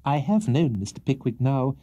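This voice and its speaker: A man's voice: medium pitch, sing-song, moderate pace, mellow, breathy edge, pitched above the performer's own voice. A man reading a storybook, voicing a timid young male witness who answers in a feeble, nervous voice. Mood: flustered.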